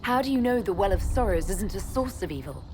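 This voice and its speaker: Animated, probing female leader played by an actress. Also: medium pitch, a little fast, a little hiss or hum, close to an everyday voice. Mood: concerned, doubtful. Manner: direct, probing, serious. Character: female heroic leader, skeptical, direct, questioning. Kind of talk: dramatic scripted game dialogue.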